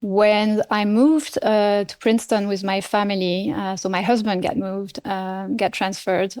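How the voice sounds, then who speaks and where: Middle-aged woman, native French speaker, French accent; podcast guest, measured and thoughtful with hesitations. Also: mid-range voice, little pitch movement, a little mellow, clean tone. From France. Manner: measured, many fillers and restarts. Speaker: nonprofit CEO, guest. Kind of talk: podcast interview.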